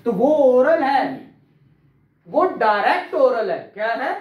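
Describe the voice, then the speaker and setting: A man's voice: extremely high pitch, expressive, slow pace, reverberant, muffled recording. A man teaching law to a class, quizzing students, repeating key terms and praising answers. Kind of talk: classroom law lecture with questions.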